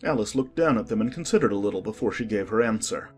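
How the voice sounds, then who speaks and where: A man narrating a dramatized storybook with measured, expressive delivery. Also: on the low side, faint music behind.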